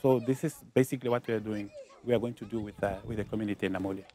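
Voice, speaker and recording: Halting man repeating his words. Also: fairly low pitch, steady tone, warm tone, soft background music. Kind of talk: interview.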